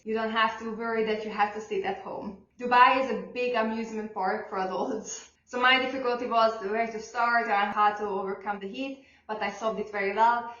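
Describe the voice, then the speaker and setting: A woman's voice: a little high, fairly bright tone. A young woman whose first language is not English, giving casual advice in a chatty storytelling way and laughing often. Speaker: real estate agent vlogger. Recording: echoey room, dull lo-fi sound.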